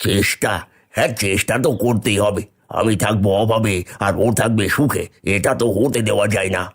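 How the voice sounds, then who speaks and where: A man voicing an envious, scheming poor villager who grumbles bitterly in an animated moral story. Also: lowish voice, a little fast, breathy edge.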